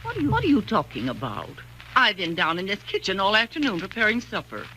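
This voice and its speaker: An actress playing a plain-spoken, indignant cook and confidante in a 1940s radio thriller, with a folksy, blunt, emphatic manner. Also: female voice, mid-range voice, wildly swooping pitch, quick pace, pushed and bright. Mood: indignant, puzzled. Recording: noisy vintage 1940s radio recording.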